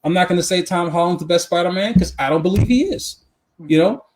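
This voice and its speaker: A man's voice: sits high, fast talker, darkish tone, slight background noise. A man chatting casually and informally as a podcast co-host, giving his opinions and repeating phrases for emphasis. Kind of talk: casual live podcast chat. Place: live podcast.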